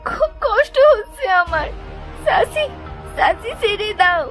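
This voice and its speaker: Meek, sorrowful cartoon orphan child, very high, swooping, plaintive delivery. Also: female voice, very animated, measured pace, a bit bright, faint music behind, full character voice. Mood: meek, hurt. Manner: plaintive, pleading. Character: sad, meek, mistreated orphan village girl. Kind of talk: melodramatic cartoon voice acting.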